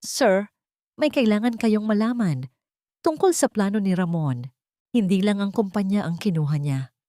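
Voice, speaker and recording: A woman's voice: medium pitch, normal speed, dark tone, no echo, clean studio sound, close to an everyday voice. Stern, formal Filipino lawyer, voiced by a woman. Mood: grave, confiding. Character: stern, cold male lawyer, formal and grave.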